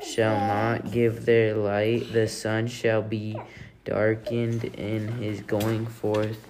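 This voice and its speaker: A woman reading scripture aloud in a halting way, restarting phrases as she goes. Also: a bass voice, flat delivery. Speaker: family member taking a turn reading. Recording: clearly noisy home recording.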